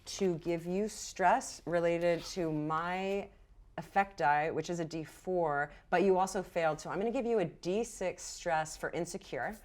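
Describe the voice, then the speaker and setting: A woman's voice: fairly low pitch, unhurried, darkish tone. A woman game master narrating and explaining rules off the cuff in an encouraging way. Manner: encouraging, affirming.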